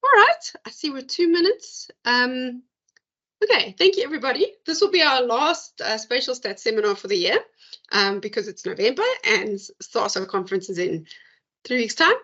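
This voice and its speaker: A woman's voice: on the high side, big pitch swings, normal speed, bright, forceful tone, highs cut off. Chatty woman hosting a video-call seminar. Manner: chatty, thinking aloud with fillers. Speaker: seminar host. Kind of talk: academic discussion and closing remarks.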